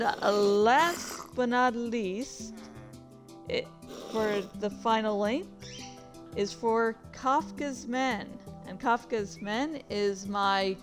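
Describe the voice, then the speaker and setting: A woman streamer chatting casually, rambling along with distracted asides. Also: medium pitch. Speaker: streamer and indie author. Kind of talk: casual livestream chat.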